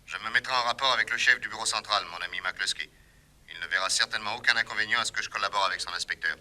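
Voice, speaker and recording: An actor playing a suave, unruffled private detective with dry irony in a French radio drama. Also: male voice, fairly low pitch, very fast. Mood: calm, businesslike. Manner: calm, drily ironic.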